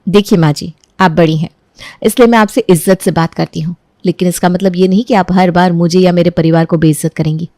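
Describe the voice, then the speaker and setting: A woman playing a young daughter-in-law in a story, firm and measured, polite but standing her ground. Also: fairly low pitch, fairly even intonation, darkish tone, dry recording, studio quality, lightly acted. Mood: firm, defiant.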